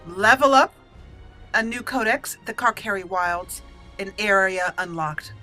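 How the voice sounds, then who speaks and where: A woman's voice: medium pitch, a little slow, bright, forceful tone, soft background music. A woman hosting a roleplay let's play of a fantasy game, thinking aloud as she muses over her choices. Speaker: gaming channel host and player.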